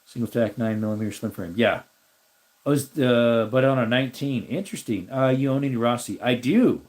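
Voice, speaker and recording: Raspy-ish guy doing a casual live stream, rambling through chat, thinking out loud. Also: medium pitch, fairly quick. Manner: rambling, thinks aloud while looking things up. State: slightly raspy voice.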